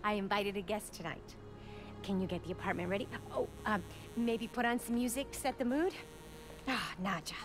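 An actress playing a warm, caring middle-aged mother in a video game, speaking affectionately. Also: mid-range voice, moderate pace, music in the background, close to an everyday voice. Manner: affectionate, motherly, slips into Spanish endearments. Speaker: game character.

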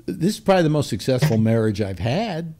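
A man's voice: medium pitch, sing-song, dark tone. Older man rambling on a video call. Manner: rambling, self-mocking, halting restarts. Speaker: host. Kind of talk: casual chat on a video call. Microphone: webcam on a video call.

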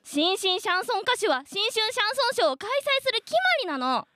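A woman's voice: very high-pitched, sing-song, brisk, pushed and bright, dry recording, exaggerated voice. A woman playing an earnest, pushy mother of a student in a comedic radio drama, polite but insistent.

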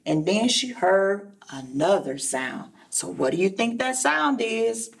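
A woman reads a children's story aloud in a classroom, a little distant from the microphone. Her pitch moves in animated swings, and she takes her time with each phrase, like a preschool teacher speaking to young listeners. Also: takes it slowly, gravelly. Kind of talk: expressive children's read-aloud with asides to listeners. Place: indoor classroom.

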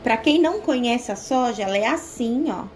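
A woman's voice: fairly high pitch, animated, moderate pace, noisy background. A Brazilian woman talking through a recipe in her home kitchen, explaining each step and checking in with her viewers. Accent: Brazilian Portuguese. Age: young adult. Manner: chatty, explains step by step with frequent "tá?" check-ins. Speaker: home cooking vlogger. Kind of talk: casual cooking tutorial.

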